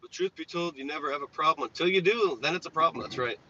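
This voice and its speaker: A young man with a slightly raspy voice, chatting casually on a live stream while plowing snow and answering viewers' questions. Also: fairly high pitch, expressive, fast talker, bright, forceful tone. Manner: laid-back, rambling, reads chat aloud. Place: inside a truck while plowing. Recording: dull lo-fi stream audio with faint engine noise.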